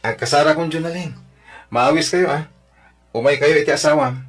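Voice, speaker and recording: A man's voice: fairly high pitch, brisk, close to an everyday voice. A man playing a sincere, friendly bridegroom in an Ilocano audio drama, earnest, with an Ilocano accent. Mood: friendly, inviting. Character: warm-hearted bridegroom, sincere grown son. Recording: music under the voice.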